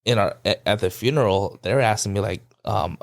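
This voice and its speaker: A man's voice: lowish voice, brisk, gravelly. A man co-hosting a podcast, telling stories animatedly and mimicking relatives. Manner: animated storyteller, acts out relatives' voices. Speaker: podcast co-host. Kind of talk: casual chat.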